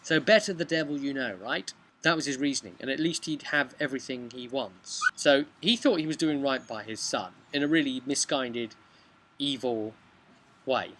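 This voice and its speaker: Bright-voiced man recapping a game. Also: mid-range voice, moderate pace. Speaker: game master recapping his campaign. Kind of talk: casual storytelling vlog about a tabletop role-playing session. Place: outdoors on a bench beside a brick house. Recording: noisy outdoor home video recording.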